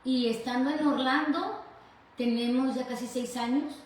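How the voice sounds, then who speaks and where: A woman's voice: high voice, fairly even intonation, moderate pace. A middle-aged woman with a Mexican accent, talking casually as a guest and sharing her personal story. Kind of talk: casual conversational testimony. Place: echoey room in host's home. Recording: very echoey room, distant mic, faint noise.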